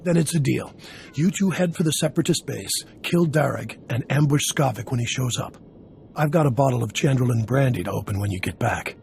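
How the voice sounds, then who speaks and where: A man's voice: medium pitch, fairly quick, vocal fry, a little mellow, slight background noise, close to an everyday voice. An actor playing a seasoned mission boss in a video game, folksy but commanding toward his crew. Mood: gruff encouragement.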